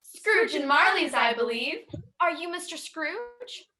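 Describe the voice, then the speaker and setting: A woman's voice: very high voice, very animated, takes it slowly, bright, forceful tone, full character voice. A woman playing a polite, businesslike charity collector in a radio play. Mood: polite, inquiring.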